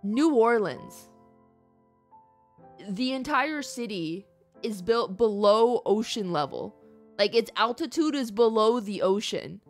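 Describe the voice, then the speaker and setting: A woman's voice: a little high, lively intonation, slow pace. A woman livestreaming a game, talking in a playful, reactive, conversational way as she comments. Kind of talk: casual live gaming commentary. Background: faint game music.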